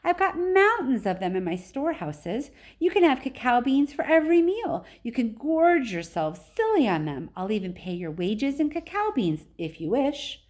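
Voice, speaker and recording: Lady reading as an eccentric chocolate factory man, proud n excited, big swoopy storybook delivery. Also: high-pitched, moderate pace, few pauses, voice pushed up. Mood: enthusiastic. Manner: emphatic, theatrical storytelling.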